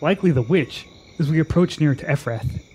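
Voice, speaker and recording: An actor playing a proud, stubborn warrior in a fantasy radio drama, speaking in a brusque, challenging way. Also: male voice, on the high side, expressive, a little fast, darkish tone, breathy edge, poor audio. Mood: firm, urging.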